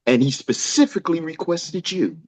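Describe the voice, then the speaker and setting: A man playing a persuasive, money-minded clinic boss, pressing his case a little haltingly at a drama table read. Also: fairly high pitch, expressive, darkish tone, no echo. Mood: smoothly pushy. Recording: close, dry, slightly dull audio.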